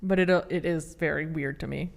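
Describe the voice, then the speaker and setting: A woman's voice: sits low, fairly even intonation, brisk, vocal fry. A young woman in her early twenties co-hosting a podcast, talking casually with lots of "like" and incredulous swearing. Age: young adult. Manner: incredulous, swears, heavy use of "like". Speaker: podcast co-host. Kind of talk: casual chat.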